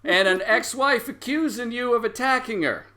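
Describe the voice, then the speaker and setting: A man's voice: very high-pitched, moderate pace, pushed and bright, slight background noise, voice pushed up. A man voicing a folksy small-town policeman who is apologetic but insistent, with a hesitant, stumbling delivery. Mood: accusing, halting.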